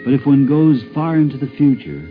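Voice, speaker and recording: A man talking reflectively as an interviewed writer, grave, deliberate and thoughtful. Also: medium pitch, narrow, tinny audio. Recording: thin 1950s radio recording with music.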